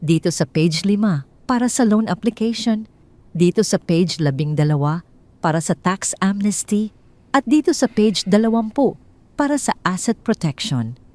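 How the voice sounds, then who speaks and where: A woman voicing a calm, calculating wife who reassures and persuades her husband in an audio drama. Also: medium pitch, normal speed, dry recording, voice pushed up, close to an everyday voice. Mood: calm persuasion.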